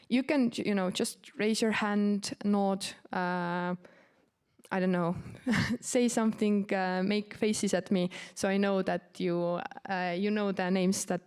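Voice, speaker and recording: Woman, Estonian accent, native Estonian speaker, mid-range pitch, informal student presentation, casual, hesitant, frequent pauses. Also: a little mellow, clean tone. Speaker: university orientation presenter.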